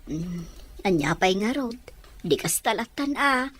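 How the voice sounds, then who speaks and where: A woman acting a provincial mother gently persuading her grown child, in an audio drama. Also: medium pitch, expressive, measured pace, husky. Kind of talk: Ilocano radio drama acting.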